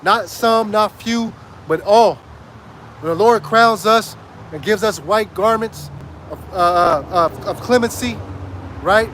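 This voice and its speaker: High-voiced man preaching on a street. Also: takes it slowly, fairly bright tone, slight background noise. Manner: emphatic, repeats phrases and asks "right?". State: hoarse, losing his voice. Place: outdoors on a city street.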